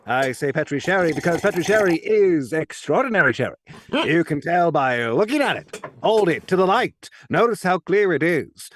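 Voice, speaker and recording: A man's voice: sits high, a bit bright. A man playing an earnest 1940s radio announcer with polished, emphatic salesmanship. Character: smooth-selling, earnest 1940s radio announcer pitching wine. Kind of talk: 1940s radio acting, sponsor read.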